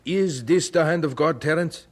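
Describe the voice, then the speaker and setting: An actor playing a devout, deliberate, kindly German orphanage director in a radio drama, with halting, emphatic phrasing. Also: male voice, fairly high pitch, close to an everyday voice. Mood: agitated, resolute. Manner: halting, non-native phrasing, emphatic repetition.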